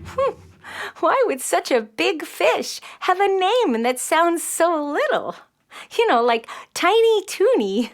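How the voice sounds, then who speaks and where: A woman's voice: very high voice, slow pace, full character voice. A woman voicing an eager, brainy, curious nine-year-old girl in a children's audio play. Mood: playful, puzzled. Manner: excitable, stammering when startled.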